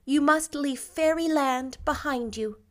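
A woman voicing a dreamy, gently wise young teacher who speaks in a wistful, playful way. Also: high-pitched, a little slow, pitched above the performer's own voice.

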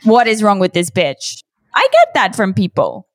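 A woman's voice: mid-range voice, wildly swooping pitch, moderate pace, no echo. Thirty-something woman, kinda british-ish mixed accent, swears a lot, nosy eager questions, dry close sound. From outside the US. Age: 30s. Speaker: podcast host. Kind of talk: casual interview chat.

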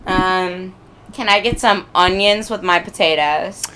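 A young adult woman in casual, joking podcast chat, talking in an informal and animated way. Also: on the low side, unhurried, heavy background noise. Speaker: podcast guest and occasional co-host.

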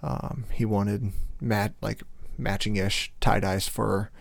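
A male podcast host with a slightly hoarse voice, talking casually in a rambling way with many fillers. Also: lowish voice, steady tone, slow pace, no echo. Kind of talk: casual solo podcast intro.

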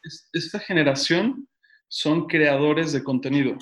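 A man's voice: on the high side, measured pace, a bit bright. A man with a Mexican accent, chatting casually as an interview guest. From Mexico City, Mexico. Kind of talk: casual chat. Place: at home.

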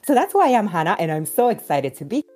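A woman's voice: medium pitch, very animated, quick pace, a little mellow. A woman from Kenya speaking as a panelist, explaining things in an articulate, earnest way. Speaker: podcast host, panelist. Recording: live audio-app chat, faint music.

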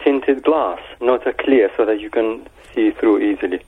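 A man's voice: on the low side, normal speed, husky. A man calling a radio phone-in, speaking politely and tentatively in a casual, diplomatic way. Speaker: phone-in caller. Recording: narrow, lo-fi phone line on radio.

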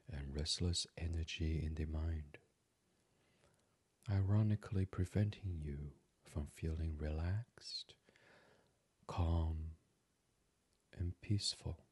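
A man hosting a guided sleep meditation in a soothing, hushed ASMR delivery that slips into a near-whisper. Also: sits low, breathy edge, dry recording. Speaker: meditation podcast host.

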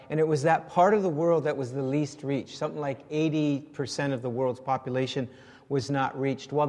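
A man preaching to a congregation, explaining points with fillers and restarts. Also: a little high. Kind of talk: sermon to a congregation. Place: church sanctuary. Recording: reverberant church hall.

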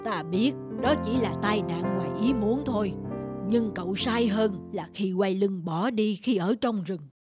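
A woman voicing a wise, forgiving old male village elder who speaks in a measured, moralizing way in an animated folk tale. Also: medium pitch, lightly acted. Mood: calm, forgiving. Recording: loud music over the voice. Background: music.

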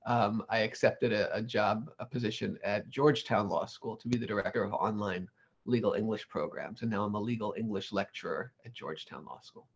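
A man's voice: on the low side, a little fast, vocal fry, breathy edge, muffled recording. A man being interviewed about his career, talking in a thoughtful way with many restarts and self-corrections. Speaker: interview guest, legal English lecturer. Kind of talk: relaxed conversational interview.

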